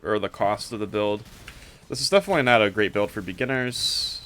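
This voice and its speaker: Guy with a lowish voice doing laid-out game build commentary, offhand, kinda thinking out loud. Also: lively intonation, brisk, slight background noise. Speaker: streamer showing a game character build. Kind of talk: casual gameplay commentary.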